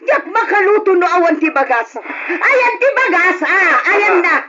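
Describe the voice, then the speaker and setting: A woman's voice: very high voice, expressive, measured pace, very noisy. An actress playing a confused, forgetful elderly mother, muttering and repeating herself with an Ilocano accent in an old radio drama. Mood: agitated fussing. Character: confused, forgetful elderly mother fussing about cats, pots and rice. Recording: muffled lo-fi radio drama recording.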